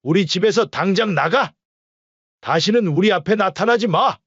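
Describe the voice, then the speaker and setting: A man playing a stern, middle-aged husband who speaks in a cold, curt, commanding way. The voice sits fairly high for a man, and the recording is dry and somewhat muffled. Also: animated, normal speed, a bit bright, husky, dry recording, full character voice. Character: cold stern wealthy father-in-law.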